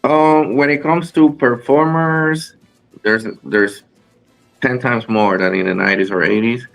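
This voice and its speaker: A man from Rico, a guest wrestler chatting in an interview, halting with many fillers and restarts. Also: mid-range voice, steady tone, gravelly, a bit bright, soft background music. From Puerto Rico. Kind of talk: casual interview chat.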